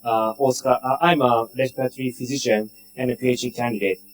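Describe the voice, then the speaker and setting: A man from Japan, a respiratory physician, introducing himself in halting, short phrases. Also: medium pitch, fairly even intonation, faint music behind, poor audio. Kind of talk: conference self-introduction.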